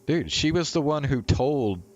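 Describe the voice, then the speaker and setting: A man's voice: medium pitch, expressive, measured pace, darkish tone, lo-fi sound. A male streamer reading a visual novel aloud and talking to viewers as he goes. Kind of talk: live read-aloud narration of a visual novel.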